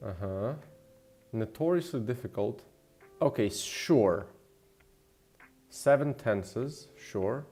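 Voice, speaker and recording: A man chatting casually as he works on a livestream, rambling and thinking out loud. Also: on the low side, expressive, slow pace, pauses often. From Slovenia. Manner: rambling, thinking aloud, mildly profane. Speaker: game developer and streamer. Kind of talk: casual livestream chat while working. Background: background music.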